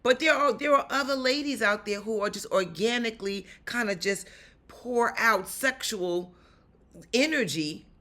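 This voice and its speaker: Raspy, upbeat New York woman. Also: on the high side, unhurried. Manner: upbeat, animated, talks straight to the viewer. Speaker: actor and stunt performer giving career advice. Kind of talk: casual advice vlog.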